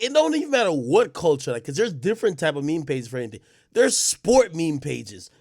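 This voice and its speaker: A man's voice: sits high, no room sound, studio quality. Profane man chatting to his stream. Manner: loose, profane, talks straight to chat. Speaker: live streamer. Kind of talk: casual live-stream chat.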